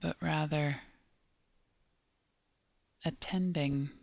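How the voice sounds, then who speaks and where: Female meditation guide: gentle, unhurried delivery with long pauses, noticeable vocal fry. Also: sits low, fairly even intonation, no room sound. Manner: gentle, measured, long pauses between short phrases. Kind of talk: guided body scan meditation.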